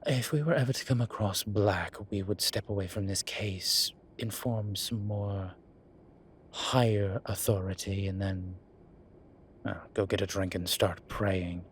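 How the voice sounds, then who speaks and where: A man playing a suave, vain incubus demon professor in an audio roleplay, speaking in a formal, measured, wryly teasing way. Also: on the low side, fairly even intonation, slight background noise, lightly acted. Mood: measured, serious.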